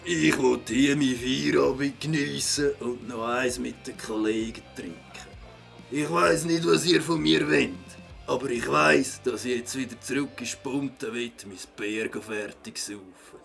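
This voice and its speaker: Gruff, defiant pub-going rogue played by a man for a kids' radio play: Swiss German, gravelly, unhurried, mock-innocent and dismissive. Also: medium pitch, fairly bright tone, soft background music. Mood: annoyed, defiant. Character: gruff, defiant litterbug villain, adult male pub regular. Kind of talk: children's audio drama acting.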